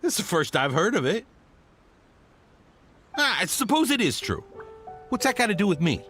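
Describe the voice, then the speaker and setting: Game merchant: shifty and flustered, high swooping voice, bright, stammering. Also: male voice, big pitch swings, normal speed, soft background music. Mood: defensive. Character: nervous, shifty male merchant protesting his innocence.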